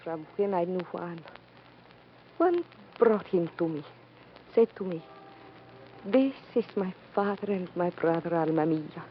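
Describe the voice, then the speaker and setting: A woman's voice: fairly low pitch, lively intonation, slight background noise. A woman with a Spanish accent playing a grieving, proud matador's widow, formal and melodramatic, in radio drama. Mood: proud, mournful. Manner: formal, dramatic, deliberate phrasing. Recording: muffled old radio recording with music.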